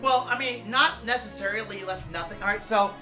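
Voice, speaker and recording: A man giving casual reaction commentary on game lore, informal and halting as he searches for names. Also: high-pitched, music in the background. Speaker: reactor, drag performer and cosplayer.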